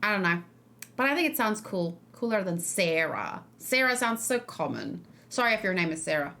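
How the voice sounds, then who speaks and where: South african girl streamer, chatting casually with her stream, medium pitch. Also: very animated, normal speed, sharp tone. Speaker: streamer reacting to game trailers. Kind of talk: casual livestream chat.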